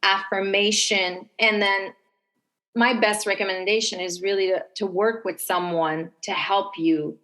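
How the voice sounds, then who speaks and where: A woman's voice: medium pitch, steady tone, unhurried, pushed and bright. A woman speaking conversationally as a podcast guest, giving advice in an explanatory way and voicing self-talk as she goes. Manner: explanatory, voices her own self-talk.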